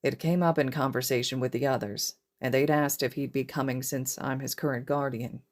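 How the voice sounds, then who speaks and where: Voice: medium pitch, fairly even intonation, brisk, lightly acted. A narrator voicing a stern, matter-of-fact man, a strict teacher in an audiobook story. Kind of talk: audiobook character voice.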